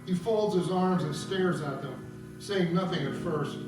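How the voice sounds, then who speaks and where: A man's voice: high-pitched, a little slow. A man narrating a scripted stage piece, reading out scenes and stage directions. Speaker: narrator and reader at an opera table read. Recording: roomy echo, distant mic, loud guitar. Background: loud plucked guitar music.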